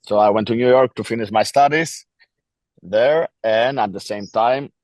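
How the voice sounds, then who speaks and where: A man in his forties with a Greek accent, speaking earnestly and reflectively as a DJ guest in a casual interview, often pausing to search for words. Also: mid-range voice, darkish tone, dry recording. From Greece. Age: 40s.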